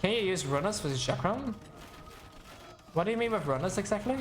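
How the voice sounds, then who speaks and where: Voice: mid-range voice, expressive, a little fast, reverberant. A game caster giving casual live commentary and chatting with viewers, rambling, thinking aloud and often self-correcting.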